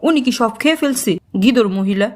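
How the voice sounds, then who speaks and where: A woman's voice: on the high side, animated, a little fast, heavy background noise, close to an everyday voice. A woman voicing a sulky, whiny teenage daughter in a cartoon. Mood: grumbling. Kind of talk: cartoon voice acting.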